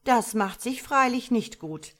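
A woman's voice: mid-range voice, animated, a little slow, a little mellow, pitched above the performer's own voice. A woman voicing a polite, reflective male starling in a storybook, easygoing and consoling. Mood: thoughtful.

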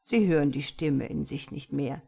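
A woman voicing a wise, patient tomcat in a storybook, speaking in a measured, gently instructive and slightly pompous way. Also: sits low, creaky, slightly rough, pitched below the performer's own voice, heavily acted. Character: wise, patient male tomcat, calm mysterious mentor. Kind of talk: storybook character voice.

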